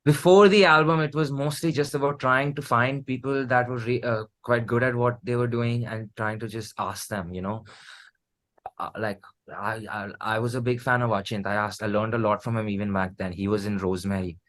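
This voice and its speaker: A man's voice: medium pitch, steady tone, moderate pace, fairly bright tone. A man in his thirties with an Indian accent, chatting casually as an interview guest. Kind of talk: casual chat.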